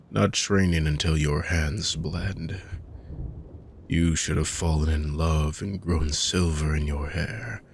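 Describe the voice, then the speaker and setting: A man voicing a weary ancient dark mage villain in a fantasy roleplay audio, speaking in a slow, measured way that drifts into hushed whispers. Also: very deep voice, a little hiss or hum, exaggerated voice.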